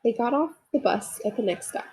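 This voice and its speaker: A woman's voice: a little high, animated, a little fast, warm tone, breathy edge, poor audio. A woman reading a children's story aloud expressively, talking to young listeners as she goes. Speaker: storybook reader. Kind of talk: children's read-aloud narration with asides.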